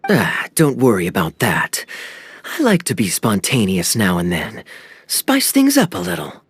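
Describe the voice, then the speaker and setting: A suave, teasing adventurer. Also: male voice, fairly low pitch, huge pitch swings, measured pace. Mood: playful. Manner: smooth, playful and charming. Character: suave, teasing young adult male adventurer. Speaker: game character. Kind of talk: video game cutscene dialogue.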